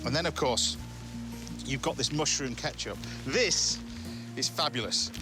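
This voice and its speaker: A man's voice: mid-range voice, measured pace. A man with an English accent hosting a cookery show, chatty and jokey as he cooks and talks with a guest. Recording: music playing behind.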